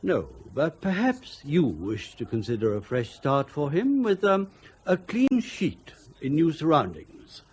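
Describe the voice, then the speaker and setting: Hesitant British schoolmaster, lively intonation. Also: male voice, on the high side, a little slow, slight background noise. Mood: awkward, tactful. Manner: hesitant, circumlocutory, formal. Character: hesitant, euphemistic schoolmaster, politely evasive. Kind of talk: radio drama acting.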